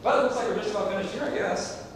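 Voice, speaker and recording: A man's voice: very fast, natural voice. A man playing an eager, good-natured younger helper in a community theatre comedy. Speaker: amateur stage actor. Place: theatre stage, distant microphone. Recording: echoey hall from far off, rumble.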